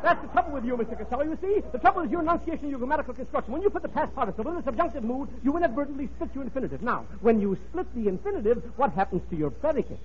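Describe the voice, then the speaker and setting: An actor playing a pompous, long-winded, condescending professor in 1940s radio comedy style, lecturing in big words. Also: male voice, very high-pitched, animated, rapid-fire, few pauses. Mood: pedantic, exasperated. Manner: pompous, verbose, polysyllabic lecturing. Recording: muffled, noisy old radio broadcast.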